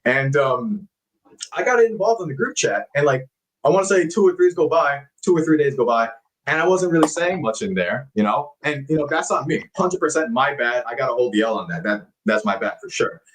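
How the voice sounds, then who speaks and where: A man's voice: mid-range voice, lively intonation, a little fast, fairly bright tone, rough recording. A man appearing as a guest actor on a casual talk show, chatty and self-deprecating, laughing at himself as he tells stories. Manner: hesitant, many fillers and restarts. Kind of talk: casual interview chat.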